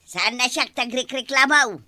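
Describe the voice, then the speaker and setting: A woman's voice: high voice, moderate pace, pushed and bright, no room sound. A woman playing a fed-up, scolding, insistent village wife in an Ilocano comedy drama, with an Ilocano accent. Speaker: actress in comedy skit.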